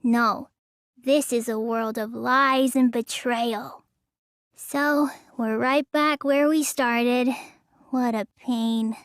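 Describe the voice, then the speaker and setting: A woman's voice: on the high side, fairly even intonation, takes it slowly, dry recording, studio quality. A woman voicing a sulky, lazy teenage girl in a video game, with a pouty drawl that stammers when flustered. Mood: sulky, weary. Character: sulky, lazy teenage girl who claims real magic. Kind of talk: English video game voice acting.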